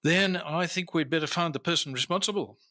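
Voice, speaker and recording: An actor playing a boastful, famous Victorian-era detective inspector in an old-time radio drama, formal and theatrical in manner. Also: male voice, a little high, brisk, bright, forceful tone. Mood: self-assured, storytelling. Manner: theatrical, self-assured, formal.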